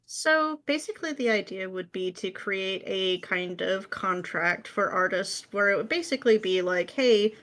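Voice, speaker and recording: Podcast guest, female, mid-pitched voice, informal and matter-of-fact, explains in a conversational style. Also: a bit bright. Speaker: interview guest, ASMR roleplay script writer. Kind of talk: casual explanatory chat.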